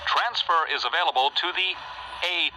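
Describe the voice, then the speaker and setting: A man's voice: on the high side, normal speed, background noise. A man reading scripted transit announcements about connections.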